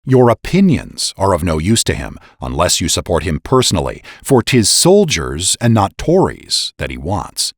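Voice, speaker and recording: A man with a fairly low voice reads a historical pamphlet as formal oratory. His intonation swings very widely as he drives each point home with rhetorical emphasis. The sound is dry and close, with little room in it. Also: no echo. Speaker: narrator reading a historical pamphlet. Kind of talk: dramatic reading, formal oratory.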